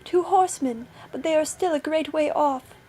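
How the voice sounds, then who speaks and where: Lady doing a worried lookout sister from a fairy tale, high voice, calling out. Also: moderate pace, dark tone, a little hiss or hum, natural voice. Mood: anxious. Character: anxious, watchful sister calling out from a tower. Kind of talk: storybook character voice.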